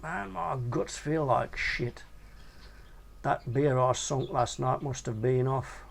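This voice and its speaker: A man's voice: medium pitch, slow, creaky. A man doing crude scruffy mate Nobby. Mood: queasy. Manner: coarse and blunt.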